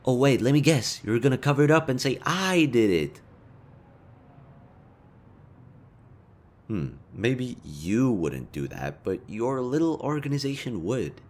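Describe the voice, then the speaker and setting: A man's voice: mid-range voice, sing-song, moderate pace, hardly any pauses, darkish tone. A man playing a smug, sardonic city supervillain with a caring streak, speaking to the listener in a casual, sweary audio roleplay. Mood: mocking, sardonic. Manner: taunting, casual, sweary, theatrical.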